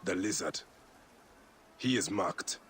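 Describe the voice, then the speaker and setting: A brooding vengeful egyptian protector in a game, deepish swinging voice, faint noise behind. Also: male voice, lively intonation, takes it slowly, a bit bright, full character voice. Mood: grim, determined. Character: brooding vengeful male ancient Egyptian protector, loyal and wry. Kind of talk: dramatic video game cutscene acting.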